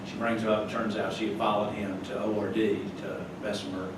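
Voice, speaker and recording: A man's voice: lowish voice, little pitch movement, a little fast. An older man from North Carolina, giving a chatty, folksy slide talk to a club with chuckling asides. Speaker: club guest speaker. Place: meeting hall. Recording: echoey room from far off, noisy.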